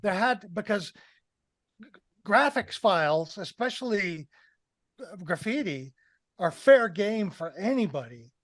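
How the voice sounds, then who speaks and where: An older man in his sixties with a high-pitched voice hosts a film review chat. He speaks emphatically, repeating phrases to press a point. The sound is dry and close on a headset. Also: animated, measured pace, long pauses, fairly bright tone, no room sound. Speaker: podcast host. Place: indoor room on a video call.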